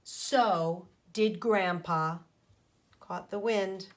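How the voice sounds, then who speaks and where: A woman teacher reading a picture book aloud to children, speaking deliberately with pauses between phrases and putting questions to her pupils. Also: fairly low pitch. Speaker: primary school teacher.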